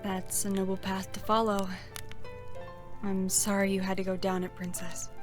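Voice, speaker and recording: A woman's voice: medium pitch, flat delivery, normal speed, soft background music, close to an everyday voice. A woman playing a sympathetic companion in a fantasy audio roleplay.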